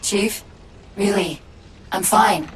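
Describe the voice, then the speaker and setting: An actress playing a quick-witted, anxious female military AI companion whose mind is failing, in cinematic game style, wry, urgent and emotive. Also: medium pitch, a little hiss or hum, low-quality recording. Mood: defensive, brittle. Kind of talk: cinematic video game voice acting.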